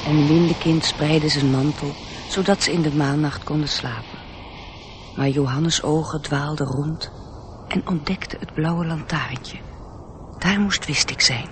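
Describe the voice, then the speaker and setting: An actor playing a measured storybook narrator in a radio drama. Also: male voice, on the high side, noisy background, lightly acted. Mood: hushed, storytelling.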